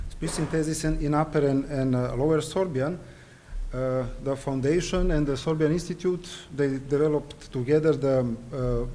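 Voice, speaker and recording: Serbian-native man giving a halting conference lecture. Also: a little high, mellow. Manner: hesitant, frequent fillers and restarts. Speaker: conference presenter. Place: conference hall.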